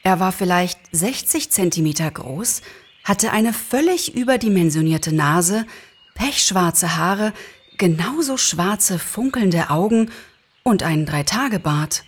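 Casting: female storyteller, lowish pitch, expressive scripted narration. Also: husky. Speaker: narrator, actress.

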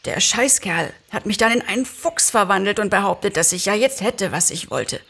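A woman voicing a slight, excitable man freed from a spell in an audio-play reading, exclaiming and cheeky. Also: mid-range voice, moderate pace, vocal fry, slightly rough, full character voice. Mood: excited, indignant. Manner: overjoyed exclaiming, cheeky and self-assured.

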